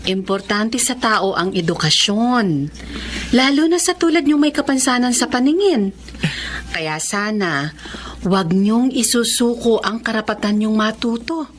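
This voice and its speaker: A woman's voice: fairly high pitch, lively intonation, a little slow, a little hiss or hum. A woman with a Filipino accent playing a caring, encouraging teacher of blind students in a radio drama, motherly and reassuring.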